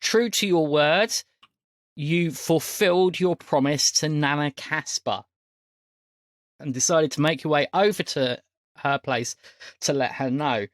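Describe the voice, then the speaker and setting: A man's voice: on the high side, measured pace, no room sound, clean studio sound. A man hosting a casual podcast as game master, chatting and recapping.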